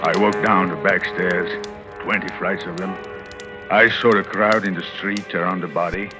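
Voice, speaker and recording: A man's voice: low-pitched, fairly even intonation, normal speed, natural voice. An actor playing a scheming, self-assured husband in a 1940s radio thriller, theatrical and intense, confessing his own crime. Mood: brooding, smug. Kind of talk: 1940s radio thriller acting, first-person confessional narration. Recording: old radio recording with dramatic music.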